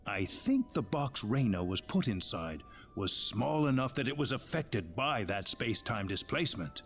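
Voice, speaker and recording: An actor playing a serious, knowledgeable researcher who explains things gently and carefully in a video game. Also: male voice, lowish voice, sing-song, faint music behind. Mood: solemn explaining. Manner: measured, expository. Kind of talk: video game dialogue acting.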